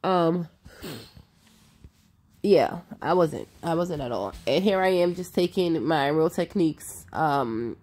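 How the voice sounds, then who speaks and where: Sassy, nasal woman with a low, mellow voice. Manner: sassy, emphatic, talks to viewers as "girl". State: nasal from a cold. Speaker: makeup artist. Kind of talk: voice-over narration of a makeup tutorial.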